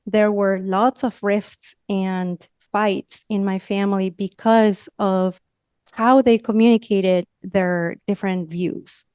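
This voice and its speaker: A woman's voice: medium pitch, fairly even intonation, slow, darkish tone, clear, resonant tone, no echo, studio quality. A woman from northern Argentina with a Spanish accent, telling her story as a podcast guest in a reflective way. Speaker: guest, communication coach. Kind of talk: podcast interview.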